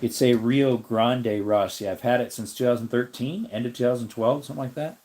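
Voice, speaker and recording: A man with a slightly raspy voice hosts a relaxed live stream. He rambles and thinks aloud as he answers his viewers' comments. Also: mid-range voice, brisk. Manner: rambling, thinks aloud while looking things up.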